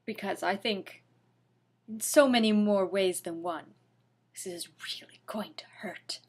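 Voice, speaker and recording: A woman's voice: medium pitch, measured pace, breathy edge. A woman giving a comedic dramatic reading, theatrical and mock-sorrowful, with wry asides. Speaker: reader.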